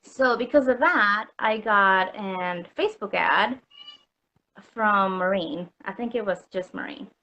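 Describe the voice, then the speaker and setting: A woman's voice: mid-range voice, takes it slowly, pushed and bright, a little hiss or hum. Chatty puerto rican-born woman, lots of ums and likes, dull lo-fi call sound. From Puerto Rico. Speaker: customer guest. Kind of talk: casual interview chat.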